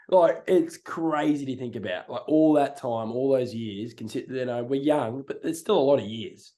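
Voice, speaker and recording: Hoarse young Australian man, mellow voice. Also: medium pitch, normal speed. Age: young adult. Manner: excited, rambling, laughs often. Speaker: podcast co-host. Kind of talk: casual chat.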